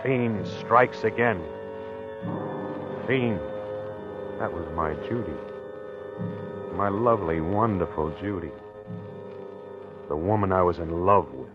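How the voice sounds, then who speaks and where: An actor playing a haunted, obsessive husband in a halting, confessional 1940s radio drama style. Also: male voice, low-pitched, loud music behind the voice. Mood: brooding, haunted. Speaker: actor narrating in character. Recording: muffled old 1940s radio recording.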